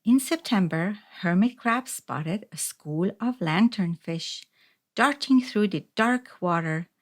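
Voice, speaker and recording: A woman narrates a children's picture book at a slow pace in a medium-pitched voice. Her delivery is deliberate and expressive, clearly scripted storytelling. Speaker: storybook reader.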